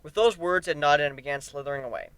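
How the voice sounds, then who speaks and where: A man's voice: fairly high pitch, fast talker, fairly bright tone, clean tone, no room sound. A man narrating a first-person story dramatically, as an amateur reader.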